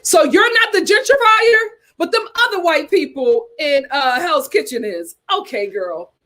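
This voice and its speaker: A woman hosting a casual chat, giving sassy, animated commentary with slang and answering live chat comments. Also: high voice, unhurried. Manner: uses casual slang like "child" and "y'all". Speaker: host.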